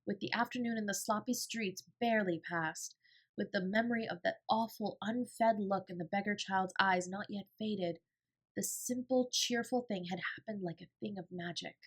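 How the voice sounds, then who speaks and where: A woman narrating an audiobook in an expressive storytelling style. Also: mid-range voice, normal speed, slightly rough.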